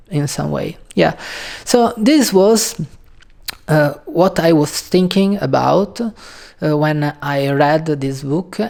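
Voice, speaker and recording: Italian-accented woman host, slow, halting casual delivery, warm dark tone. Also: deep voice, creaky. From Italy. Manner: hesitant, halting, many pauses and fillers. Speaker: channel host. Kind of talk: casual video talk on a book.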